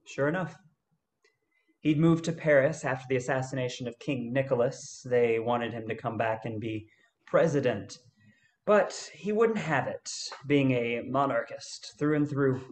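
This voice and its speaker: A man playing an easygoing gold-hunting adventurer in a radio play, telling his story in a halting way. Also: medium pitch, close to an everyday voice. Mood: amused storytelling.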